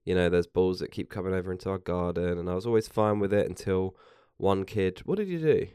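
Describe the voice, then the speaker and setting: A man's voice: sits low, fairly quick, mellow, clear, resonant tone, no echo, studio quality. An English man with a sore throat and a stuffy voice, co-hosting a casual podcast and rambling through stories with lots of restarts. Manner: rambling, self-interrupting, jokey. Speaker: podcast co-host. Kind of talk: casual chat.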